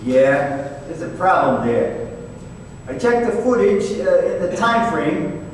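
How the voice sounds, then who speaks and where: A man playing a blunt, wisecracking, tough detective's partner in a radio drama, with a halting, teasing delivery. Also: high voice, very animated, full character voice. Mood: matter-of-fact. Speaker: investigator partner. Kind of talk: old time radio drama performance. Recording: very echoey hall, distant mic, faint noise.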